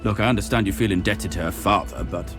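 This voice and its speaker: An actor playing a stern, sceptical Roman military officer in scripted game dialogue. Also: male voice, deep voice, quick pace, over music.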